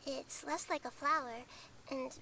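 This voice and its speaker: An actress voicing a gentle young girl goddess who speaks softly and thoughtfully, full of analogies, in a game scene. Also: high-pitched, steady tone, measured pace, a little hiss or hum, heavily acted. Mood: calm. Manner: soft, thoughtful, fond of analogies. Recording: game audio with music.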